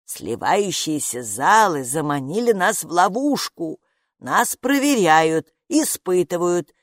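A woman voicing a fretful, grumbling female gatekeeper in an audiobook, loud and scolding. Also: on the low side, big pitch swings, normal speed, no room sound, clean studio sound. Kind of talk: audiobook character reading.

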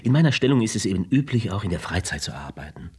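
An actor playing a scheming executive with a persuasive, pleading manner. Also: male voice, lowish voice, very animated, fast, breathy edge, heavily acted. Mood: coaxing. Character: scheming male executive pleading with his lover.

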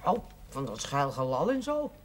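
An actress playing a candid, gently teasing woman in a 1970s television drama, wry and conversational. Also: a bass voice, huge pitch swings, moderate pace, exaggerated voice. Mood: amused, doubting. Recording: slightly noisy 1970s television soundtrack.

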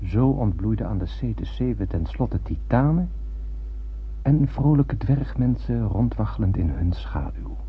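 An actor playing an astronaut who narrates a solemn space tale in poetic, measured radio play style. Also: male voice, on the low side, animated, slightly rough, exaggerated voice. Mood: solemn, wondering. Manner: poetic, measured literary storytelling. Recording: muffled phone-like old radio recording, noisy.

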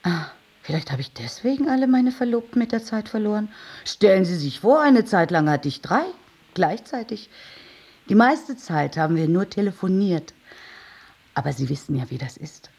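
A husky, mellow, eccentric older lady. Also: mid-range voice, animated, moderate pace. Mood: dreamy, confiding. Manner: rambling, quirky. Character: eccentric older lady neighbour, chatty, dreamily romantic. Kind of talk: radio drama acting.

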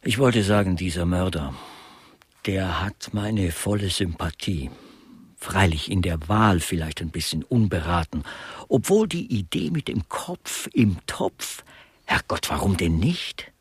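An actor playing an embittered, pompous man of letters, holding forth in ornate rants in a radio play with a husky voice. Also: deep voice, animated, a little slow, no echo, exaggerated voice. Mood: grandiose, bitter. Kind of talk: German radio play dialogue.

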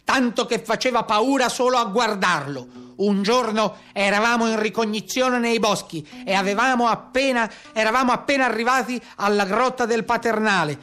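A man's voice: high-pitched, normal speed, sharp tone, pitched above the performer's own voice. A man voicing a solemn carabiniere who recounts a brigand hunt, formal and declaiming, in theatrical narration. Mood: solemn reporting.

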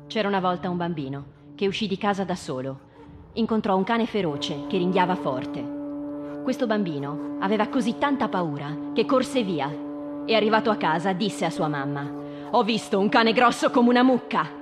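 A woman's voice: medium pitch, animated, moderate pace, in a large hall. Woman storyteller with music behind. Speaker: performer leading a storytelling session with children. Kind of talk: storytelling and questioning children in live radio theatre.

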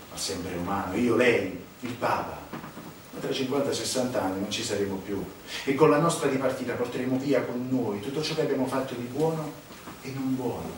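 An actor playing a cynical, corrupt Vatican priest in a theatrical monologue, mocking and menacing, with dramatic delivery. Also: male voice, lowish voice, lightly acted. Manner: dramatic, sardonic, swinging between hushed and loud. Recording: echoey, distant, noisy stage recording.

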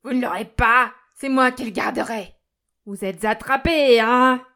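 A miserly old fisherman from a storybook, acted out by a woman reader. He is gruff and ailing, stubborn to the bone. His grumble climbs to a shrill, defiant rage. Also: a little slow, lightly acted. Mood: frenzied, triumphant. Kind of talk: audiobook character acting.

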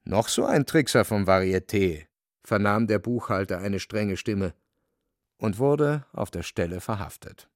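A man narrating a literary radio play in a measured, wry manner. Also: fairly low pitch, animated. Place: studio, very dry.

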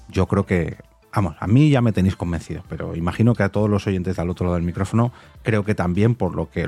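A man's voice: deep voice, warm tone. A man with a Castilian Spanish accent hosting a casual interview, effusive and enthusiastic. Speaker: podcast host, interviewer.

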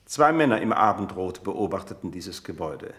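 A man's voice: low-pitched, sing-song, normal speed, a bit bright. An actor playing a formal, deferential male household servant in a German radio play, with stiff, courteous, old-fashioned phrasing.

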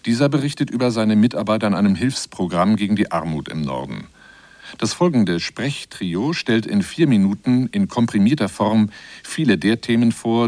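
A man's voice: on the low side, darkish tone, dry recording. A man reading scripted narration for a German radio feature as its presenter.

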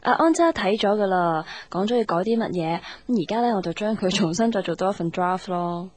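An actress playing a diligent, friendly and playful young office colleague in a Cantonese radio drama. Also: female voice, mid-range voice, brisk, dry recording, lightly acted.

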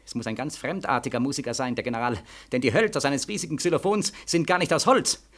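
An actor playing a bitter, sleepless young war veteran in 1940s German radio drama style, feverish and sardonic, repeating himself between weary murmurs and anguished cries. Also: male voice, medium pitch, fast, a bit bright, natural voice. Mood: haunted, intense.

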